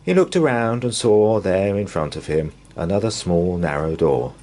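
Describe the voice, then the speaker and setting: A man reading a bedtime story aloud to young children as a storyteller, with measured, drawn-out, expressive phrasing. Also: sits low.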